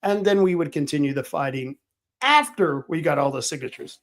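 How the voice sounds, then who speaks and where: A middle-aged man with a somewhat high voice hosts a political livestream. He talks casually, with many restarts and fillers. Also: expressive, brisk, a bit bright. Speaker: political talk show host.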